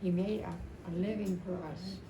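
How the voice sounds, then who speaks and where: A woman in her seventies giving an informal, rambling and emotional personal tribute at a memorial, telling stories in a halting way. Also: mid-range voice, level tone. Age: 70s. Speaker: widow speaking at a memorial. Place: indoor family memorial gathering. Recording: echoey, distant mic, noisy gathering room.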